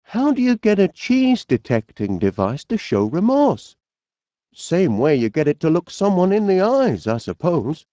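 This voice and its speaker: Northern english cartoon inventor bloke, really bouncy intonation, bumbling n polite, dry close sound, bit of a fusspot. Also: male voice, high-pitched, sing-song, a little slow, warm tone, no echo. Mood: plaintive, puzzled. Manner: bumbling, polite, musing aloud. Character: cheerful, fussy, absent-minded middle-aged male inventor. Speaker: video game voice actor. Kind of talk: animated comedy game acting.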